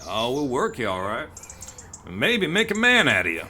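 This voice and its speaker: An actor playing a gruff middle-aged farmer uncle in an audio drama, folksy, blunt and stern. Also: male voice, medium pitch, huge pitch swings, moderate pace, pushed and bright, slight background noise, exaggerated voice. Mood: gruff, teasing.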